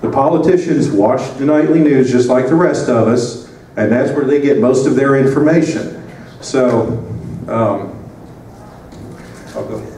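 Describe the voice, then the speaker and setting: A man's voice: medium pitch, measured pace, mellow, recorded from a distance. A man giving a conference presentation into a handheld microphone, talking loosely with lots of fillers and restarts. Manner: rambling, many fillers and restarts. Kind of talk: conference talk. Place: conference room. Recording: roomy sound from off mic with faint noise.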